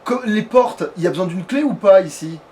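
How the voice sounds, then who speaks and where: A man plays and comments at a quick clip. His talk is slangy, crude and casual. There is some background noise on the recording. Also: sits high, lively intonation, dark tone. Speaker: let's player at the controls. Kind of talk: casual gaming chat while playing.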